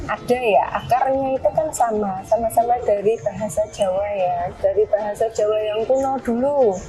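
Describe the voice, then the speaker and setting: A woman explaining language in a casual chat, pausing now and then to find her words. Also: medium pitch, dark tone, rough recording. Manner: thoughtful, pauses to find words. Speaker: language expert guest.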